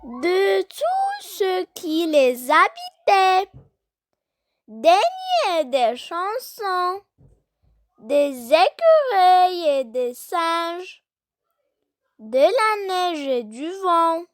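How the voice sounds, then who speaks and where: A childlike voice reciting a poem for young learners, deliberately and phrase by phrase, with long pauses. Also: extremely high pitch, wildly swooping pitch, dry recording, clean studio sound.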